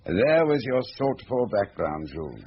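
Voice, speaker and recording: Shrewd, low-voiced confidant. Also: male voice, sing-song. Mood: thoughtful, probing. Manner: deliberate, reasoning step by step. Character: shrewd, measured male confidant questioning a detective. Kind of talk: radio drama acting, conversational. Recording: muffled radio drama, background hiss.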